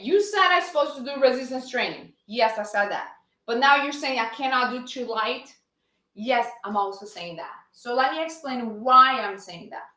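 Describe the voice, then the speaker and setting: Energetic fitness coach, woman with a Brazilian accent, high voice, recorded in a roomy space. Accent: Brazilian Portuguese. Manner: energetic, greets viewers and answers her own questions. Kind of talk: live-streamed fitness lesson.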